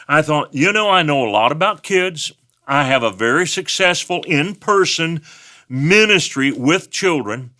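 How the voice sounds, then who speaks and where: Male pastor, West Texas accent, folksy storyteller, forceful voice pitched a bit high. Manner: folksy storytelling with personal anecdotes. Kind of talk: sermon.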